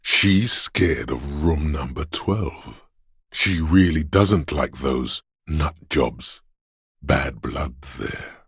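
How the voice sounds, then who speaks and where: A menacing, paranoid inner voice of raw survival instinct from a video game. It is extremely low and badly husky, goading the listener with profane, ominous warnings. The delivery is slow and deliberate. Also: male voice, a bit bright, no room sound, studio quality, heavily acted. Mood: ominous dread. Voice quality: deep, gravelly, husky rasp. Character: menacing, paranoid primal fight-or-flight instinct speaking inside a detective's head. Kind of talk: dramatic video game voice acting, second-person inner voice.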